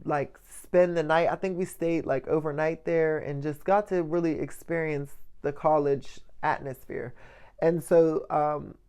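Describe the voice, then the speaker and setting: A man with a light, soft voice giving a casual motivational monologue as a vlogger, often checking in with the listener. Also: on the high side, clear, resonant tone. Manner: conversational, checks in often with "right?" and "you know".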